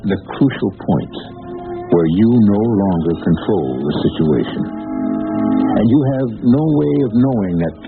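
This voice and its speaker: A male host gives scripted, dramatic narration in a gravelly voice. His manner is measured and ominous. Loud music plays behind him on a narrow vintage radio recording. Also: on the low side, expressive.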